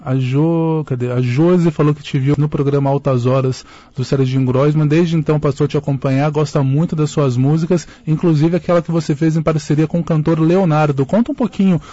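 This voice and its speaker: A man's voice: a little high, steady tone, a little fast, hardly any pauses, mellow, dry recording. A man hosting a live radio interview, chatting and reading out listener messages. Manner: conversational, reads out listener messages. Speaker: radio host. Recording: dull lo-fi radio audio.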